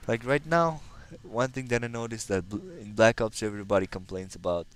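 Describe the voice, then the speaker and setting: Low-voiced man with a slight Russian accent. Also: fairly quick. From Kazakhstan. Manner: rambling and hesitant, many fillers. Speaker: gaming channel host. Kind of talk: casual gameplay commentary.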